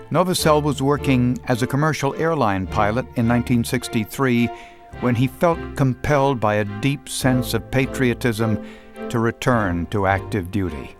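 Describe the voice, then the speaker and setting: A man giving scripted documentary narration with a measured, formal delivery. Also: mid-range voice.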